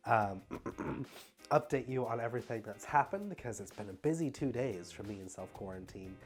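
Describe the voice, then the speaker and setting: A young man with a stuffy nose, talking casually at home in a rambling, halting way full of fillers. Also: medium pitch, lively intonation, soft background music. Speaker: travel vlogger. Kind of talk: casual vlog Q&A.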